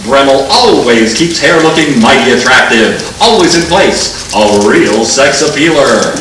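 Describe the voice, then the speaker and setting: An American man playing an upbeat 1940s radio announcer and pitchman with a theatrical salesman's delivery. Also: animated, unhurried, a bit bright. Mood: peppy salesmanship. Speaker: announcer and narrator. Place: live stage before an audience. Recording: distant echoey stage recording with noise.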